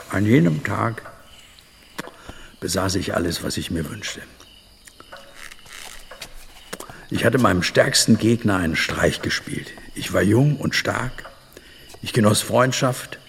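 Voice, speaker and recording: A man's voice: on the low side, vocal fry, in a large hall, slight background noise, full character voice. An actor playing an older, reflective adventurer and butterfly collector in a radio drama, musing and telling his tale dramatically. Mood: nostalgic. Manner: musing, dramatic storytelling with pauses and interjections. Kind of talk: radio drama monologue, storytelling.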